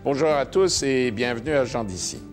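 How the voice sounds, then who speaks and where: A man's voice: mid-range voice, expressive, moderate pace, loud music behind the voice. A middle-aged man hosting a community television interview, asking questions in a formal, polite way. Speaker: TV interview host. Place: living room at home.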